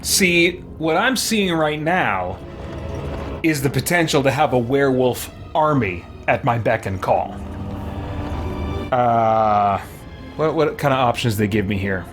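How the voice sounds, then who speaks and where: A man's voice: medium pitch, lively intonation, takes it slowly. A man chatting casually as a gaming streamer, thinking aloud in a hesitant, rambling way. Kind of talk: casual chat while playing. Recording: music behind the voice. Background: game music.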